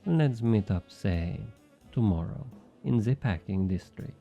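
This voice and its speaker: An actor playing a smug, flirty thief with a French accent, teasing and coaxing in an intimate ASMR roleplay. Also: male voice, on the low side, animated, measured pace, vocal fry, warm tone, highs cut off, soft background music. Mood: smug triumph. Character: obnoxiously flirty, smug male thief and information broker.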